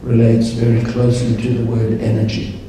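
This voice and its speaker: A man speaking at a conference, leading a guided meditation in a calm, slow, measured way. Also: medium pitch, slight background noise. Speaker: meditation leader. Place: large conference hall. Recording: echoey hall, distant mic.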